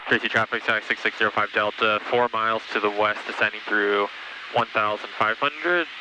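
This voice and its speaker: Male pilot on headset, lowish voice, rapid clipped position calls, clear cockpit drone behind. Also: fairly even intonation. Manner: clipped phraseology. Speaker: private pilot. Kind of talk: radio position calls and readbacks to air traffic control. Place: small airplane cockpit. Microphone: aviation headset. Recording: noisy cockpit radio with engine drone.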